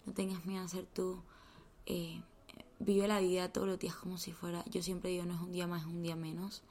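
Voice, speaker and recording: A young woman, hosting a podcast in a heartfelt, motivational chat that often sinks to a soft whisper. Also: lowish voice, little pitch movement, a little fast. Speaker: podcast host.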